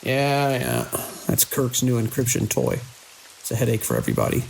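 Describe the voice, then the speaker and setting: Actor as gravelly, weary night security chief. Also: male voice, mid-range voice, very animated, moderate pace, rough recording. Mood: dry, commanding. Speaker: security lead. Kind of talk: audio drama, logs and radio dialogue. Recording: hiss and rain-like noise.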